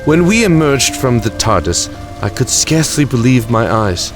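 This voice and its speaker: Guy as dry commanding time lord, measured, mid-pitched, faint music behind. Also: very animated, close to an everyday voice. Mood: reflective narration. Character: composed, wry male time-travelling lord recalling his past. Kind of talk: audio drama acting and narration.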